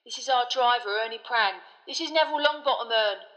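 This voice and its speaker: A woman voicing a brash teenage male bus conductor who talks loud, slangy and cheeky. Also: fairly high pitch, fairly quick, big-room sound, pitched above the performer's own voice, lightly acted. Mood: proud.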